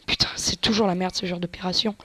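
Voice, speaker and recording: A woman's voice: lowish voice, fairly even intonation, fast talker, pushed and bright. A woman with a cold giving casual, crude, joking gameplay commentary and explaining tactics to viewers as a let's play gamer. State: has a cold.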